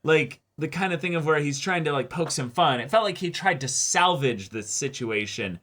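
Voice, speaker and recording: A man's voice: on the high side, animated, normal speed, fairly bright tone. A man co-hosting a discussion show, talking casually and expressively in slangy language. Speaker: co-host of an anime reaction and discussion show.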